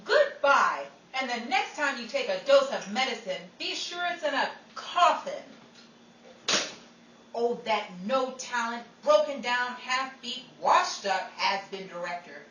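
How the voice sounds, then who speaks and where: A woman's voice: on the high side, animated, a little slow, a bit bright. Indignant radio-play leading lady, acted by a woman: theatrical, swooping delivery, noisy roomy sound. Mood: scornful, dramatic. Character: indignant old-time radio actress scorning her director. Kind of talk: 1940s radio acting. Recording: roomy, distant mic, noisy home recording.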